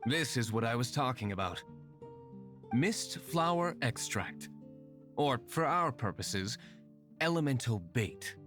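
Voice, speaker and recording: Young noble vigilante, formal, aloof, composed, mid-pitched voice, video game drama. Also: male voice, lively intonation, slow, long pauses, fairly bright tone, soft background music. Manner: formal, reserved, well-spoken.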